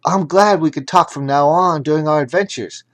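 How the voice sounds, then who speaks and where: A kindly enchanted hat talks with a boy's spirit , acted by a man reading a storybook. The voice is pitched up, gentle and a little hesitant. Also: moderate pace, close to an everyday voice. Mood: glad, gentle.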